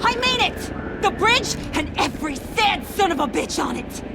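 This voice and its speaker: An actress playing a volatile young villain in a video game, brash, mocking and menacing. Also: female voice, very high voice, very animated, a little slow, vocal fry, loud music behind the voice, exaggerated voice. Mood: furious threats.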